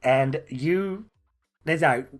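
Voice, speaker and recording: A man's voice: on the high side, very slow. A man co-hosting a gaming podcast, talking casually, laughing often and trailing off mid-sentence. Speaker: podcast co-host.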